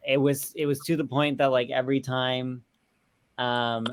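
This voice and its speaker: A man chatting casually about film as a panelist, halting as he thinks aloud. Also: medium pitch, flat delivery, smooth. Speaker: panelist on a film review show.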